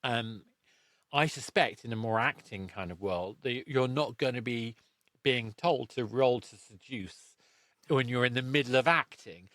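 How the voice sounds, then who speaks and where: A man with a mid-pitched voice, recorded very dry and close, hosts a relaxed discussion. He explains ideas reflectively, frequently rewording his phrases along the way. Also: a little slow, no echo. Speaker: podcast host and interviewer. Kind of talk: casual, discursive interview conversation.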